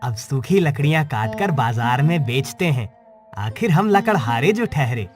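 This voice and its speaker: A man's voice: medium pitch, animated, a little slow, lightly acted. A man playing an upbeat, encouraging woodcutter friend in a cartoon story, with a hearty manner. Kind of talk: Hindi cartoon story dialogue. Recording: music under the voice. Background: music.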